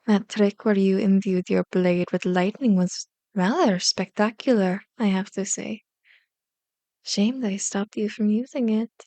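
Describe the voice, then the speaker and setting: A woman's voice: mid-range voice, steady tone, mellow, no echo, close to an everyday voice. A woman playing a powerful, manipulative female vampire in an ASMR roleplay, seductive and condescending, slipping into whispers as she commands and coaxes. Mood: taunting, commanding. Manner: slow, commanding, mock-sweet taunting with whispered words.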